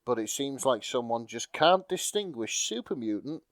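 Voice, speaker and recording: A man's voice: medium pitch, very animated, moderate pace, no room sound, clean studio sound. A British man co-hosting a podcast with casual, jokey banter, fond of puns and swearing, sometimes reading aloud. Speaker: podcast co-host. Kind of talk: casual comic banter with read-aloud bits.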